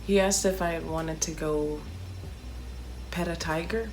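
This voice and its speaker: A woman from Chicago, a comedian, narrating a travel story in a chatty, animated storytelling way with playful asides. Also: low-pitched, slow, slight background noise, low-quality recording. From Chicago, United States.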